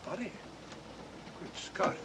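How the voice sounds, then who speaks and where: An actor playing a genial, opinionated older English doctor with a British accent and a blustery, chatty manner in an old mystery film. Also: male voice, slow pace, natural voice. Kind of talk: 1940s mystery film acting. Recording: noisy old film soundtrack.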